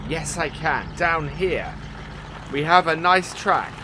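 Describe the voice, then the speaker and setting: A man's voice: on the high side, expressive, takes it slowly, noisy background. A man casually commentating a farming game as he explores it, upbeat and full of ums and uhs. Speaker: gaming channel host.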